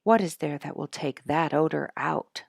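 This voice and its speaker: A woman's voice: low voice, moderate pace, creaky, no echo, studio quality. A woman voicing a rueful, dramatic father in a storybook, weary and emphatic as he recounts his troubles. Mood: resigned.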